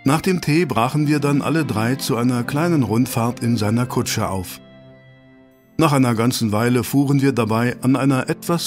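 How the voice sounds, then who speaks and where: An actor playing a genial, patient doctor and loyal friend in a radio play, amiable and gently teasing. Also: male voice, mid-range voice, lightly acted. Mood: reflective. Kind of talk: audio drama dialogue and narration.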